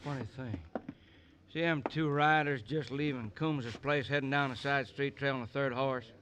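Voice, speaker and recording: A man's voice: mid-range voice, fairly even intonation, moderate pace, close to an everyday voice. A man playing a wary, dutiful small-town sheriff in an old-time radio western, folksy and plainspoken. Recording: vintage radio recording, crowd noise.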